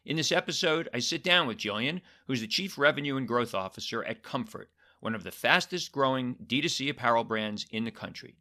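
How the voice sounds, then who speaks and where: A man's voice: medium pitch, expressive, brisk, few pauses, sharp tone. A man hosting a podcast, reading an upbeat intro and then chatting with a guest, sometimes hesitating with fillers. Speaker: podcast host. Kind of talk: scripted intro then casual interview.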